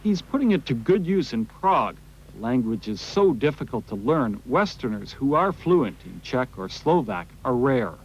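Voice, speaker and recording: A man narrating a television news report in a measured broadcast style. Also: mid-range voice, sing-song, husky. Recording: slightly dull broadcast audio with faint noise.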